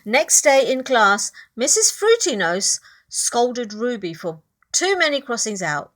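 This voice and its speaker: A woman reading a picture book aloud expressively, pausing to speak directly to child listeners. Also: a little high, sing-song, fairly bright tone.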